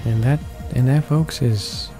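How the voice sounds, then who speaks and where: A man's voice: medium pitch, measured pace. A man reading a visual novel's text aloud as narration, often stumbling over words and rereading them. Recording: game music behind the voice. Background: video game background music.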